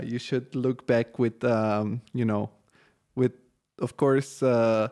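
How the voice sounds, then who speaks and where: A man in his thirties from Bulgaria, hosting an interview podcast from a small home studio on a boom mic, friendly and hesitant with many fillers. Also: darkish tone. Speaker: podcast host. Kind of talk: casual interview. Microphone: studio boom mic, headphones.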